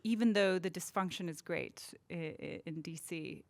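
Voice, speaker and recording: Female host from Nepal, lowish voice, reflective, restarts her sentences. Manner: thoughtful, halting restarts. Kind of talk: interview questions.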